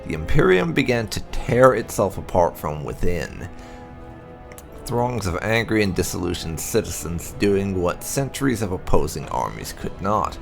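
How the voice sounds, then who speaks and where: A man narrating game lore as a gaming host, in a measured storyteller's manner with emphatic phrasing. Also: low voice, sing-song, vocal fry, soft background music. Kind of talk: reading game lore aloud as scripted narration.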